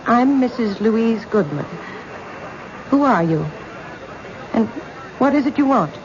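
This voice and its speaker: Assertive, puzzled married woman, slow pace, radio drama, noisy vintage recording. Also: fairly high pitch, expressive, lo-fi sound. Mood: puzzled, indignant.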